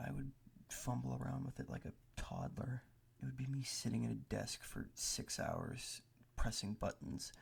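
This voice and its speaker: A man doing soft, half-whispered ASMR close to the microphone, rambling in a hesitant, self-deprecating way with a breathy, gravelly voice. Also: medium pitch. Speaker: ASMR creator. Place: bedroom at a desk.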